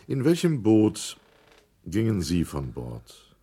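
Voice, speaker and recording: A man's voice: fairly low pitch, wildly swooping pitch, takes it slowly, warm tone, husky, full character voice. An actor in a German radio documentary drama playing a stern, sceptical inquiry chairman who questions formally, with authority and challenge. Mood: sceptical, challenging.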